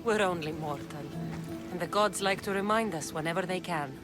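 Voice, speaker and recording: A woman's voice: lowish voice, fairly quick, lightly acted. An actress playing a tough, confident female mercenary of ancient Greece in a video game, speaking plainly. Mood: resolute, concerned. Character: tough, steady female Greek mercenary warrior. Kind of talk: dramatic video game acting. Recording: music behind the voice.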